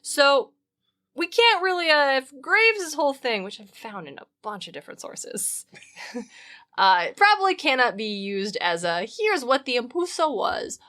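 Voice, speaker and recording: A woman's voice: high voice, sing-song, measured pace, pushed and bright. A woman co-hosting a podcast, explaining research in a casual, wry, opinionated and informal way. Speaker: podcast co-host.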